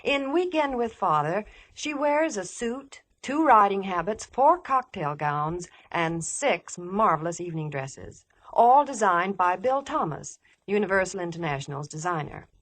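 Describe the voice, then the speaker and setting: A woman's voice: medium pitch, lively intonation, a little slow, hardly any pauses, fairly bright tone. A woman delivering scripted 1950s radio advertising chat as a polished, enthusiastic sponsor spokeswoman.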